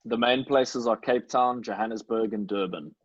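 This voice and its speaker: A man's voice: mid-range voice, moderate pace, dry recording, dull audio. A man from Africa, chatting casually with fellow podcast cast members. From South Africa. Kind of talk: casual chat.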